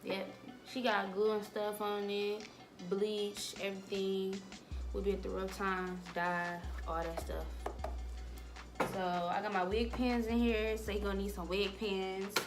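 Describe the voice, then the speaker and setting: A woman's voice: mid-range voice, flat delivery, measured pace, over music. A young American woman chatting casually to camera as a vlogger, in a loose, slangy, rambling way. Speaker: vlogger giving a wig-making tutorial.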